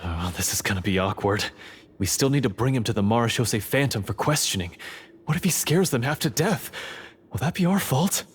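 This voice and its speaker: A man voicing a brisk, blunt prison guard in a game. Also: medium pitch, expressive, a little hiss or hum, lightly acted. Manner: brisk, blunt, polite to superiors. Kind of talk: game voice acting.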